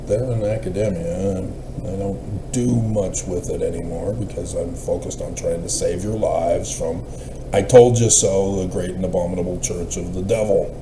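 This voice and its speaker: A man's voice: deep voice, steady tone, normal speed. A man giving a rambling solo monologue in the manner of a self-styled prophet, meandering and often trailing off mid-thought. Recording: roomy echo, clear background noise.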